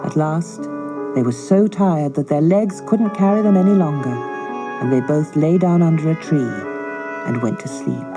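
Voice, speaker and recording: A woman storyteller narrating a scripted fairy tale for children in a measured, dramatic way. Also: sits low, music in the background.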